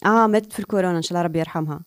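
Very fast-talking Tunisian woman radio host. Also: fairly low pitch, clear, resonant tone, dry recording. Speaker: radio call-in show host. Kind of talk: live radio hosting, chatting with callers.

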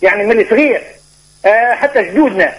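A man in his forties with a Tunisian accent, calling in to a radio show, lively and insistent and quick to laugh. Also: sits high, slightly rough, low-quality recording. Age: 40s. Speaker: radio caller. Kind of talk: phone-in chat. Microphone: telephone.

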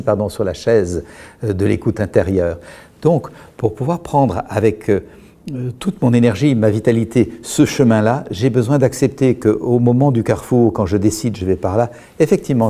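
A middle-aged man, speaking as a guest in a calm, measured, reflective way, pausing often and restarting his sentences. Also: mid-range voice. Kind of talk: reflective explanatory talk.

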